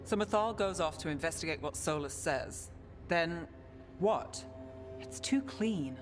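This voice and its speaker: An actress playing an analytical investigator in a fantasy video game cutscene. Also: female voice, lowish voice, animated, unhurried. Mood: analytical, deliberate. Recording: dialogue under clear background music.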